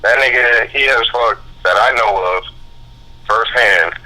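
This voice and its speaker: A man speaking as a guest on a talk radio show, heated and profane, telling his story fast and forcefully. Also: medium pitch. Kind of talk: angry call-in radio talk. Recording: phone line.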